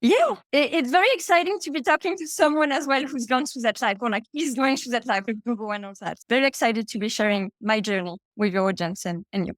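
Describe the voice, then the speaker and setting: A woman's voice: a little high, quick pace, few pauses, fairly bright tone, no echo, clean studio sound. A woman in her thirties with a French accent, a podcast guest telling her story in an open, chatty way. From France. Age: 30s. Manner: chatty and open, with frequent fillers and asides. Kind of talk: casual conversational storytelling.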